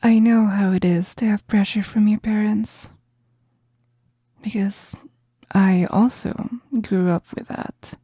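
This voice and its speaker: A woman performs a kind, understanding female professor in an intimate roleplay audio. Her voice is soft and very breathy, with a slow, gentle, reassuring delivery. The sound is dry and close. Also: medium pitch, steady tone, no room sound. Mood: hushed sympathy. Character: caring, understanding female math professor counselling a student.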